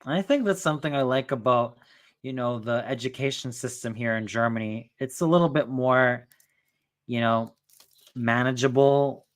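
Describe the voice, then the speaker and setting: A man's voice: mid-range voice, normal speed. A man in his twenties hosting a casual stream chat, rambling with lots of fillers. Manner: rambling, filler-heavy, restarts often. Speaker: streamer host.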